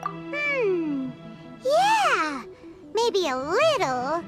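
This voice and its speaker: An extremely high, childlike game fairy, tiny and excitable. Her pitch swings wildly as she exclaims, indignant and protective, with music playing behind her. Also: very slow, full character voice. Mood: curious, eager. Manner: speaks of self in third person, exclamatory. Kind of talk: animated video game dialogue.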